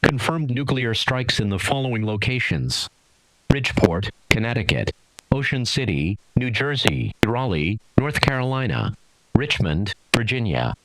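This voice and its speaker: A man's voice: mid-range voice, very animated, unhurried, a bit bright, no room sound, low-quality recording. A man reading a scripted emergency bulletin in a formal, impersonal way. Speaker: emergency alert announcer.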